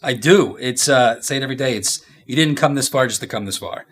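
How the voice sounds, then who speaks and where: A man in his thirties with a mid-range voice speaks as an executive being interviewed at work. His answers are informal and unscripted. He pieces his sentences together as he goes, halting and thinking aloud. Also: mid-range voice, fairly bright tone, slightly rough. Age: 30s. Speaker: interview guest, company executive. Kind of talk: casual workplace interview answers.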